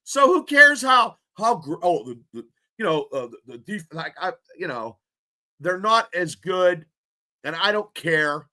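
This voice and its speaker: A fired-up man co-hosting a sports podcast, rambling, repeating himself and restarting lines. His voice is forceful and bright, sitting a little high, and his nose sounds stuffed up. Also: a little slow. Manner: excitable, rambling with many restarts and fillers. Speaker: podcast co-host. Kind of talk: casual sports podcast chat.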